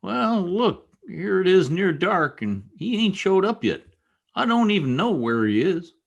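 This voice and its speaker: A fretful rustic sidekick, acted by a man in a Western radio drama. His voice is on the high side, creaky and very animated, with a simple, folksy way of talking. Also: measured pace, lo-fi sound, exaggerated voice. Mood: worried, folksy. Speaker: actor in an amateur radio drama. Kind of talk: old-time Western radio acting.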